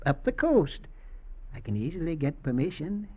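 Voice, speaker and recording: An actor playing a menacing old executioner and possessive husband, speaking in pointed, mock-affectionate questions in vintage radio drama style. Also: male voice, fairly high pitch, sing-song, normal speed, husky. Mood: sly false sweetness. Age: older. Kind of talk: 1940s-50s American radio drama acting. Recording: dull vintage radio recording.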